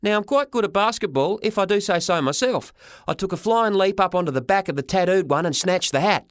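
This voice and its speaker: A man narrating a children's story in the first person as an expressive audiobook reader. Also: sits high, brisk, no echo, clean studio sound.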